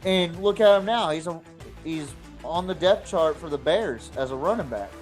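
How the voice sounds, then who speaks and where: A man with a voice a little higher than average hosts a casual sports podcast. He talks bluntly and swears freely, while faint music plays behind him. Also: expressive, moderate pace. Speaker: podcast host.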